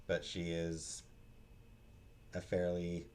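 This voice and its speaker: Halting man with a lowish voice. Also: completely flat delivery, darkish tone, slight background noise. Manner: halting, hesitant, searching for words. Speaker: book reviewer, host. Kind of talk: casual unscripted book talk.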